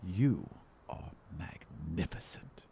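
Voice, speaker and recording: Man voicing an animated, smug elf demigod hunter. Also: low voice, takes it slowly, gravelly, a little hiss or hum, voice pushed down, full character voice. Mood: courtly. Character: eccentric, confident pale elf demigod of the hunt.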